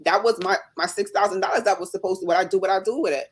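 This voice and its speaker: A woman with a slight Jamaican accent, talking fast and with emphasis as she tells her own story in a casual live chat. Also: mid-range voice, slightly rough. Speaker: nurse and business owner, guest.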